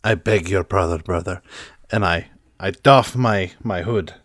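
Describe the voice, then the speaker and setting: A man's voice: deep voice, measured pace, fairly bright tone, no room sound, clean studio sound, voice pushed down. A man voicing an earnest, naive, heartbroken young nobleman in a tabletop roleplay, speaking in a pompous, formal, theatrical way.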